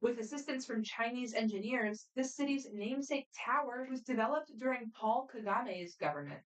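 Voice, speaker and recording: A woman moderating a student quiz competition, reading questions aloud in a brisk, friendly, matter-of-fact way. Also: fairly high pitch. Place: competition room. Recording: voice picked up from a distance.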